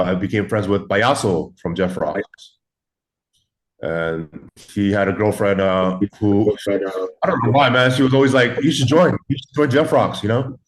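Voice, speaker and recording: A man's voice: on the low side, rough recording. Laid-back swearing man in his forties. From raised in Los Angeles. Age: 40s. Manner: laid-back, swears often, lots of fillers. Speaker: guest. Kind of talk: casual storytelling.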